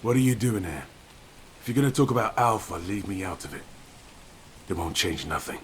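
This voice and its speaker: An actor playing a gruff, sardonic outsider fighter in a video game, surly and terse. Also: male voice, deep voice, lively intonation, brisk, a little hiss or hum.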